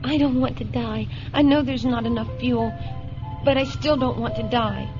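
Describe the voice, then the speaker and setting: Woman acting a scared young female stowaway, high voice, plaintive, earnest, sci-fi radio drama, muffled lo-fi with music behind. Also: fairly even intonation, moderate pace, close to an everyday voice. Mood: frightened. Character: frightened, lonely, naive young female spaceship stowaway.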